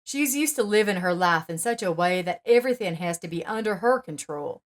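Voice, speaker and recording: A young husband in a narrated story, gentle and placating as he tries to calm things down, read by a woman in her own low-ish voice. Little changes from the narration. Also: a little fast, natural voice. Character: young husband, soothing and apologetic.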